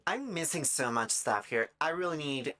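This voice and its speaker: A streamer with a raspy, dry-throated voice, chatting casually in a halting way and often breaking off mid-thought. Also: medium pitch, expressive, sharp tone, soft background music. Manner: halting, self-interrupting, trailing off mid-thought. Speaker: live streamer. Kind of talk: casual rambling chat while gaming, giving art advice.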